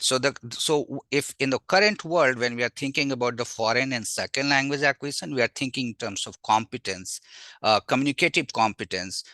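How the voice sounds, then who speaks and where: Man, Indian accent, native Magahi speaker, bright forceful voice, dry close sound, informal academic lecture, animated, rhetorical questions. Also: mid-range voice, no room sound. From Bihar, India. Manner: animated, asks and answers own rhetorical questions. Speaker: university language teacher, invited webinar speaker.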